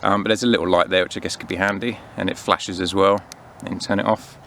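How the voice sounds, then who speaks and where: A man's voice: sits low, fairly even intonation, fast, a bit bright, slight background noise. A man in his thirties chatting casually and unscripted as he reviews gear, recorded outdoors on a camera mic. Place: outdoors in autumn woodland.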